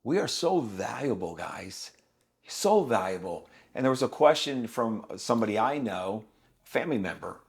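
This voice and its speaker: A man in his fifties talking as a podcast guest. His delivery is emphatic and preachy, and he speaks bluntly. He sits at a mid pitch, neither low nor high. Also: big pitch swings, moderate pace, creaky. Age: 50s. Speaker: guest, business owner. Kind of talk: podcast conversation.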